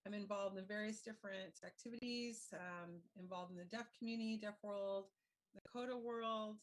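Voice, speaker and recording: A woman moderating and speaking on a discussion panel, talking in a measured, careful way. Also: mid-range voice, steady tone, rough recording.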